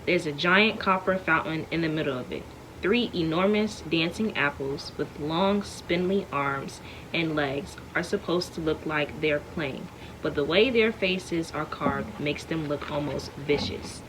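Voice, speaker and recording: A woman reading a chapter of a novel aloud at home in a smooth, steady way. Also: mid-range voice, measured pace, background noise. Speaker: read-aloud reader.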